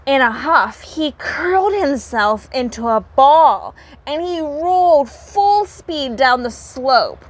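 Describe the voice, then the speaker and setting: A woman reading a children's story aloud in animated storybook narration. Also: sits high, slow pace.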